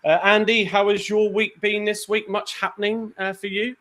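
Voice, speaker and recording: A man hosting a football show, talking in a relaxed, chatty way with lots of fillers. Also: high voice, normal speed. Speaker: podcast host. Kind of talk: casual show host chat.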